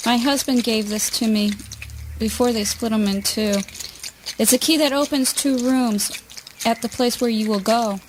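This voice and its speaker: Lady doing a captive wife in an audio play, high pitched, urgent and ominous, kinda theatrical. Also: fairly even intonation, moderate pace, background noise, close to an everyday voice. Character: weary captive wife and mother, urgent, foreboding. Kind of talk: spoken word play acting.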